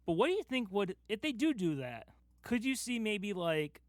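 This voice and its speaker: A man's voice: sits high, sing-song, normal speed, dry recording, clean studio sound. A man co-hosting a casual fan podcast, talking in an emphatic, enthusiastic way and repeating himself for stress. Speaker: podcast host.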